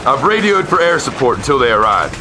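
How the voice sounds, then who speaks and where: An actor in an amateur war audio drama playing a steady, commanding army sergeant. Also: male voice, medium pitch, fast talker, noisy background, natural voice. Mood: steadier.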